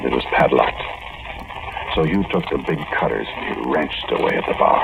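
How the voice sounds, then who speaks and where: Very low male uneasy crook narrating his story, terse and brooding, 1940s radio drama, muffled and heavy with noise. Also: creaky, heavily acted. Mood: tense, hushed. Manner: brooding, halting, terse, confiding. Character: frightened, weary male burglar telling his own story. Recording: noisy, muffled vintage 1940s radio recording.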